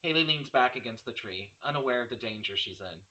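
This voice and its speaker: A man's voice: mid-range voice, animated, a little fast, pushed and bright, rough recording. A man narrating stage directions in an amateur screenplay table read, sometimes stumbling and correcting himself.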